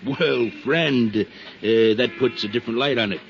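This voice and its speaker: An actor playing a folksy, slippery used car dealer in a 1950s radio drama, with blustering homespun sales patter. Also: male voice, medium pitch, moderate pace, vocal fry, a little hiss or hum, close to an everyday voice. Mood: affable, evasive. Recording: dull 1950s radio recording, music.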